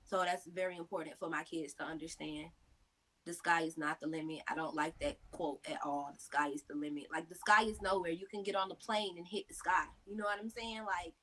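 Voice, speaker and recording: A woman's voice: fairly low pitch, steady tone, fairly quick, sharp tone. Thirties woman giving pep-talk advice in an interview, lots of likes. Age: 30s. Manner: earnest, motivational, filler-heavy. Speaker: interview guest, mother. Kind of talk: casual interview answers.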